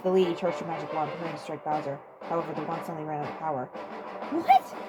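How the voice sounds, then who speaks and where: Female story narrator: lowish voice, brisk dramatic reading over prominent music. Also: level tone. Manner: stumbles over written words. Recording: loud music under the voice.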